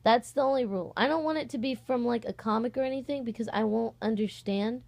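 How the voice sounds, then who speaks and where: A young woman with a fairly high voice speaks in an informal vlog style. She rambles along hesitantly, stopping to correct herself and picking the thought back up as she asks her followers to take part. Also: fast talker, gravelly, darkish tone. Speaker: online voice-acting channel host. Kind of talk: casual vlog, audition call.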